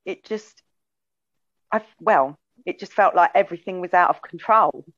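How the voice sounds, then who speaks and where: A woman guest on a podcast sharing her personal story. She speaks softly and hesitantly, with frequent ums. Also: on the low side, moderate pace, dry recording, lo-fi sound.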